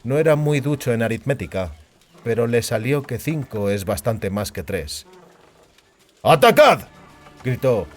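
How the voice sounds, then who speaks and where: A man's voice: medium pitch, a little mellow. A man narrating fantasy fiction as a dramatic, scripted audiobook. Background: background music.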